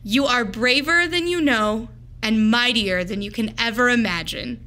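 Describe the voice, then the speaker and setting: A woman reads a picture book aloud in a high, bright voice. Her phrasing is slow and deliberate, shaped dramatically for young children, as a teacher does at storytime.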